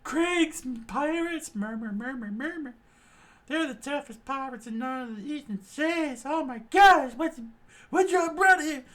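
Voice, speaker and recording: A man's voice: extremely high pitch, lively intonation, measured pace, fairly bright tone, voice pushed up, full character voice. A man voicing a terrified crowd of restaurant diners in squealing, panicked chatter.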